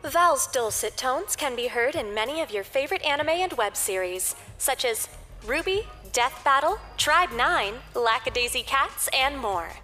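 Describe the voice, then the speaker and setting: Casting: female announcer reading podcast credits, high-pitched, reverberant sound with a music bed. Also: animated, normal speed, bright, forceful tone. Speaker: podcast outro narrator. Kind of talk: scripted credits narration. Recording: long reverb over music.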